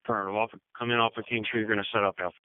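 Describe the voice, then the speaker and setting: Male dispatcher, low clear voice, procedural radio read-backs, thin phone-like narrowband sound. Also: little pitch movement, rapid-fire, dry recording. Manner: procedural, reads back messages. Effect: two-way radio. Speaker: county fire dispatcher.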